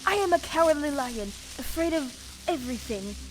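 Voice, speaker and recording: A woman's voice: sits high, expressive, moderate pace, background noise. A woman playing a cowardly talking lion in an audio play, declaiming earnestly and swinging between boastful and fearful. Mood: pleading. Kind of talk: stage-style audio play acting.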